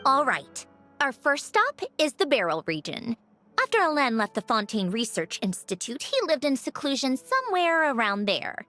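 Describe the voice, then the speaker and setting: A woman voicing a calm, polite young female researcher and adventurer in a video game, with a thoughtful, matter-of-fact manner. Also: high-pitched, moderate pace, soft background music, full character voice.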